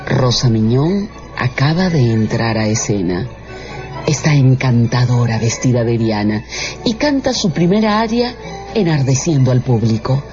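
A man narrating a literary radio drama in a deliberate storytelling manner. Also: medium pitch, lively intonation. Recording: muffled audio under loud music.

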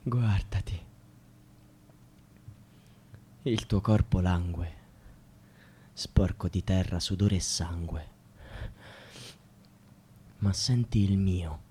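An actor playing an impassioned protester, declaiming rhymed verse in a rhetorical way in a radio drama, calling on the people. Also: male voice, low voice, takes it slowly, pauses often, dark tone, slight background noise. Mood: low, brooding. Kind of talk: theatrical radio drama monologue in rhythmic verse. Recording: music behind the voice.

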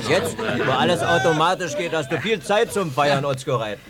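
An actor playing a needling village tractor hand with a mocking, jovial manner in a radio drama. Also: male voice, on the high side, normal speed, over a noisy crowd, lightly acted. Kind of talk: 1980s German radio drama acting.

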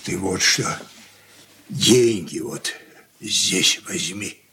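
An older actor playing an ailing, confused old merchant father in a Soviet radio play, speaking in halting, broken phrases. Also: low-pitched, pushed and bright, a little hiss or hum, full character voice. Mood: weary, brooding.

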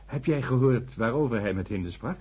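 An actor playing a wry, easygoing, quietly scheming scientist in a radio play, speaking in a casual, chatty and dry manner. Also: male voice, mid-range voice, animated, fairly quick, husky, highs cut off. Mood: wry, conspiratorial. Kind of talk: conversational radio play acting.

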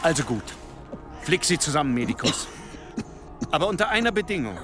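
An actor playing a stern, indignant medieval duke with an imperious, abrupt manner in an audio drama. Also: male voice, on the high side, sing-song, fairly quick, music in the background.

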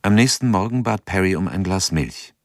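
A man narrating an audio drama from a script in a measured, sober manner. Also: low voice, expressive.

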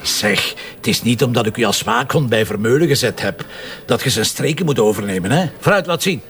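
A man with a Flemish accent acting in a radio drama as a stubborn, wisecracking police commissioner, gruff, teasing and bossy. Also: medium pitch, animated, brisk, a bit bright. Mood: commanding.

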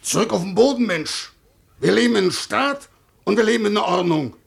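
A dutiful male state servant who believes utterly in order. His voice is very gravelly and husky, with lively swings of pitch as he admonishes. The style is 1950s radio drama. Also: fairly quick, full character voice. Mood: admonishing. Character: rigid, dutiful male Prussian official, exasperated believer in order. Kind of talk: 1950s German radio play acting.

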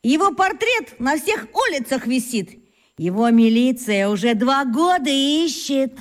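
A woman playing an excitable, boastful schoolboy who fancies himself a detective, telling his tales breathlessly in a children's radio drama. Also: high voice, a little slow. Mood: boastful, suspenseful. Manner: breathless, boastful storytelling with exclamations.

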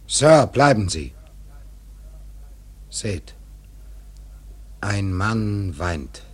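An actor playing a loyal, anguished first mate in a radio drama, grave and earnest with a husky voice. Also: male voice, lowish voice, big pitch swings, extremely slow, heavily acted. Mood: pleading, sorrowful.

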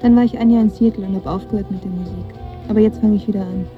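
An actress playing a young aspiring singer, guarded and teasing, in radio drama dialogue. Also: female voice, mid-range voice, steady tone, quick pace, narrow, tinny audio, faint music behind, natural voice. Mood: open, teasing. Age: young adult.

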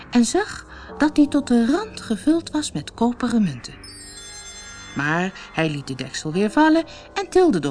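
A woman narrates a children's fairy tale with very lively intonation. Her reading is unhurried and expressive, in the manner of a recorded storybook. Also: medium pitch. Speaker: audiobook storyteller.

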